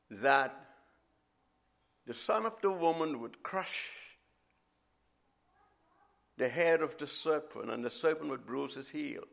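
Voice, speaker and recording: A middle-aged man with a Bahamian accent, preaching a sermon as a visiting preacher in a measured, emphatic way. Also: medium pitch, vocal fry, fairly bright tone.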